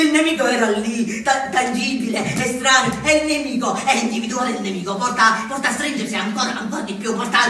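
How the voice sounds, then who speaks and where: An actor playing a tough, vain far-right male agitator, haltingly repeating his phrases like an orator in a theatre monologue. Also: very high-pitched. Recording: very echoey stage, distant mic, noisy.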